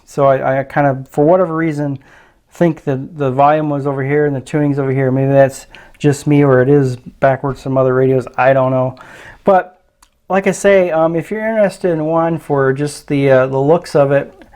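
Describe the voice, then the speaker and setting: A man's voice: medium pitch, normal speed, darkish tone. A man giving a casual, unscripted product review in a hesitant, tentative way, often trailing off.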